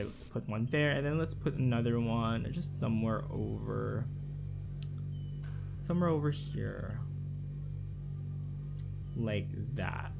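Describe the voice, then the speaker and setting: A man with a slightly raspy, fairly high voice talks casually through a game he is playing, thinking aloud and restarting his sentences. Faint background music plays beneath his voice. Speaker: let's play host. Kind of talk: casual gameplay commentary.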